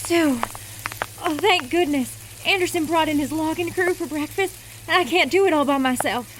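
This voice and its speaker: A woman's voice: high-pitched, a little hiss or hum, natural voice, low-quality recording. A woman playing a bossy, folksy diner cook. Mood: brisk. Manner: brisk, folksy, scolding. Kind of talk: radio drama acting.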